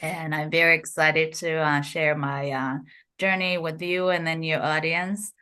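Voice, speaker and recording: A middle-aged woman from Japan with a Japanese accent, a podcast guest speaking carefully with many pauses. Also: low voice, steady tone, pushed and bright, smooth. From Fukuoka, Japan. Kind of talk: podcast interview.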